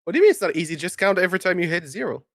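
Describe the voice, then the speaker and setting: A co-host on a casual podcast who keeps teasing and joking with the others. The voice moves lively through its pitch range and sounds close and dry. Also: racing, no echo. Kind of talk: casual online chat.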